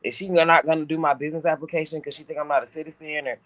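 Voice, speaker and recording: A man's voice: a little high, steady tone, racing, fairly bright tone, dry recording. A man co-hosting a casual talk show, telling a personal story with lots of restarts and fillers. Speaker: show co-host. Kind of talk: casual podcast chat.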